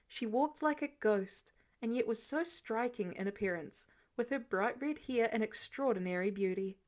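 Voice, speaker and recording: A woman narrating fan fiction in an expressive storytelling style. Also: a little high, moderate pace.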